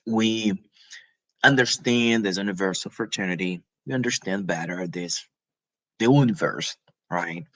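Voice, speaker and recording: A man from Brazil, presenting a spiritual talk in a halting, informal way with frequent restarts and tag questions, coughing now and then. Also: on the low side, fairly bright tone.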